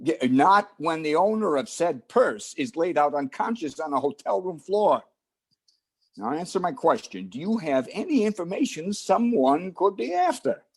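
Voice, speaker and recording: A man's voice: on the high side, very animated, normal speed, husky, full character voice. A man playing an exasperated but fond police detective in an old-time radio drama, talking in hectoring slang. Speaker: supporting actor in community theatre radio recreation.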